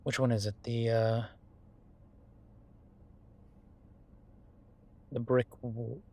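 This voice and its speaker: A man's voice: medium pitch, flat delivery, moderate pace, dark tone, lightly acted. A man playing a friendly, self-made CEO and old college friend in a roleplay audio drama, talking casually with stammers and self-deprecation. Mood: puzzled, hesitant. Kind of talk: intimate one-sided roleplay audio drama, conversational.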